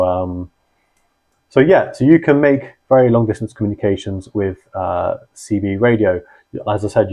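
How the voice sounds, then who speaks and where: Low-voiced man giving a casual explainer. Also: darkish tone. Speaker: host of a radio hobby channel. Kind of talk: casual explainer talk to viewers.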